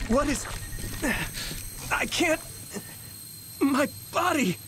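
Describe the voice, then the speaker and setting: A man voicing an earnest young soldier hero in an anime-style game cutscene, quick to worry. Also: very high voice, animated, heavily acted. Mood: alarmed, urgent. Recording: music behind the voice.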